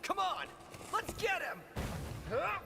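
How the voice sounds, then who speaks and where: An actor playing an indignant, hot-headed rank-and-file knight in exaggerated video game style. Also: male voice, extremely high pitch, big pitch swings, slow, creaky, long reverb tail, noisy background, exaggerated voice. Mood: rallying, frantic.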